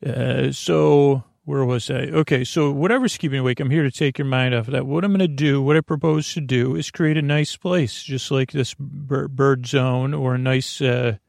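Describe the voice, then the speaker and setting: A man with a creaky voice hosting a bedtime podcast, soothing and whimsical, meandering and halting as he interrupts himself. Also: mid-range voice, fairly even intonation, a little mellow, dry recording, clean studio sound. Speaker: podcast host. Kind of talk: rambling bedtime monologue.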